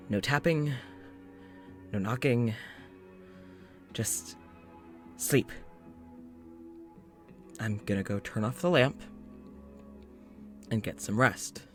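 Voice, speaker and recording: A man playing a weary, frustrated doctor with memory loss in an audio drama, recording a spoken journal with halting, sighing delivery. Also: medium pitch, fairly bright tone. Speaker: voice actor playing podcast's lead character.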